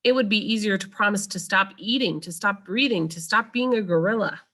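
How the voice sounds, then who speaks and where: A woman's voice: medium pitch, brisk, bright, forceful tone. A woman voicing a grieving, self-doubting male silverback gorilla in a children's storybook. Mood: solemn.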